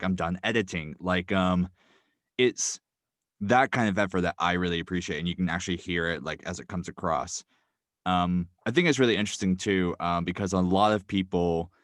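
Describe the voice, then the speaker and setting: Deep-voiced man, hesitant and rambling. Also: fairly bright tone, dry recording, clean studio sound. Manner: hesitant, many ums and likes. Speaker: podcast guest, audio drama writer. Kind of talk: casual podcast chat.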